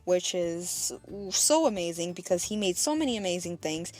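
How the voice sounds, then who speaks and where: A woman's voice: on the low side, lively intonation, moderate pace, a little mellow, soft background music. A young American woman from the United States, narrating her childhood in a chatty voice-over and laughing at herself along the way. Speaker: vlogger. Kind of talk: casual storytelling voice-over.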